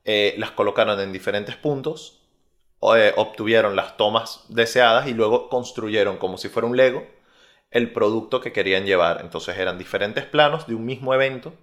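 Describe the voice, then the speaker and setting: A young Venezuelan man with a Venezuelan Spanish accent, a researcher answering interview questions in a measured way with frequent pauses. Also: fairly low pitch, a bit bright. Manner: measured, many filler "eh" pauses.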